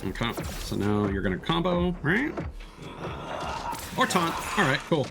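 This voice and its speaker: A man's voice: fairly high pitch, sing-song, a little slow. A man giving casual commentary while live streaming a video game. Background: game music.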